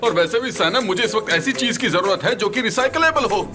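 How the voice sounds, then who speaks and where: Anxious young office man, high voice, excitable, repetitive questioning, TV drama dub, loud music behind. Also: a little fast. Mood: flustered panic. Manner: agitated, repeats questions insistently. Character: flustered, anxious young male office employee, excitable worrier. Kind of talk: dubbed TV drama acting.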